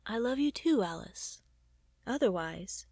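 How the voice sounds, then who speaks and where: A woman voicing a fond, whimsical gentleman adventurer in a storybook, earnest and courtly. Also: medium pitch, expressive, a little slow, gravelly, no room sound, studio quality.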